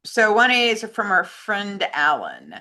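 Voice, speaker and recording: A woman's voice: medium pitch, wildly swooping pitch, a little slow, sharp tone. A woman co-hosting a casual talk show, chatting and reading listener questions aloud.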